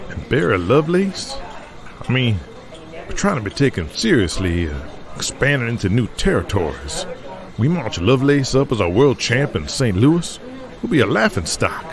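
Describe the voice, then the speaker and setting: A man voicing a weary, troubled male wrestler and booker who speaks in a halting, folksy way. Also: mid-range voice, very animated, a crowd in the background, voice pushed up. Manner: quiet, halting, folksy grammar. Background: music underneath.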